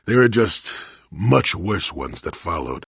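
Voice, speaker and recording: A man playing a crotchety, wry old hermit in a video game, with a gravelly, husky voice and a sardonic storyteller's manner. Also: low voice, sing-song, takes it slowly, a bit bright, no room sound, studio quality, exaggerated voice. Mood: defensive, sardonic. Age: older. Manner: cantankerous, sardonic, rambling storyteller. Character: crotchety old male hermit, former Jedi, wry and weary. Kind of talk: video game character acting.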